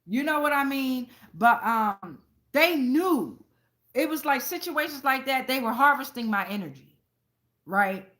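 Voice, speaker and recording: A woman with a somewhat high, sharp-edged, very bright voice hosts a casual tarot reading. She speaks bluntly and swears freely. She keeps checking in with her viewers as she slips between the cards and personal anecdotes. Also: expressive, unhurried. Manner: conversational, addresses viewers directly with frequent check-ins. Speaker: tarot reader and channel host.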